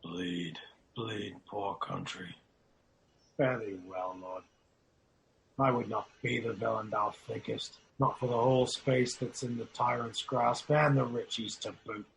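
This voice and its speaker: A man playing a loyal, despairing nobleman in a Shakespearean radio drama, speaking in a solemn, declamatory way with a gravelly voice. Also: fairly low pitch, fairly even intonation, measured pace, fairly bright tone, low-quality recording. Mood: sorrowful, proud. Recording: clearly audible background noise.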